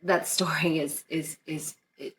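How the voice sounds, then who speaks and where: A woman's voice: low-pitched, steady tone, unhurried, fairly bright tone, a little hiss or hum. A woman from Northern Virginia, a DJ guest, telling a personal story in a casual interview, earnest and rambling with many fillers and restarts.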